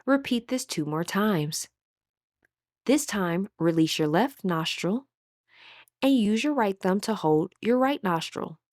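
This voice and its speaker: A woman leading a scripted guided meditation as an instructor, with a measured, soothing, instructional delivery. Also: medium pitch, animated, a little mellow, no room sound, clean studio sound.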